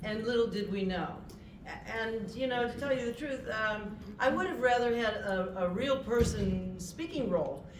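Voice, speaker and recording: A woman actor telling stories on a convention panel in a chatty, animated way. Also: a little high, moderate pace. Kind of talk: panel talk, storytelling. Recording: echoey hall, distant mic, background noise.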